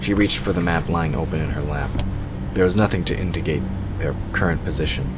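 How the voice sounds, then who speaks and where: Male narrator, extremely low pitch, scripted storytelling, clear background noise. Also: a little fast.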